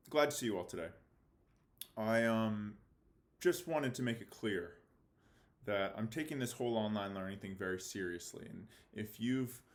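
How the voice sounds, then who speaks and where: Echoey man teaching and reading aloud. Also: on the low side. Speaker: fifth-grade teacher. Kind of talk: read-aloud lesson for children, reading a novel with commentary. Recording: echoey room.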